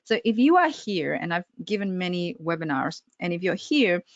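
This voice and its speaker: A woman's voice: lowish voice, sing-song, moderate pace, no room sound. Upbeat Australian woman, speaking coach, talks straight to the audience, dry and slightly dull call audio. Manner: upbeat, addresses the audience directly. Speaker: public speaking trainer. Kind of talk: interactive webinar presentation. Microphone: computer mic on a video call.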